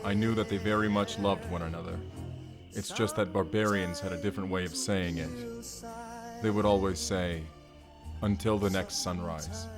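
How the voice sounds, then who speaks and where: An actor playing a hulking, earnest, gentle barbarian man in an audio roleplay, speaking formally and haltingly. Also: deep voice, lightly acted. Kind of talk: first-person audio roleplay acting.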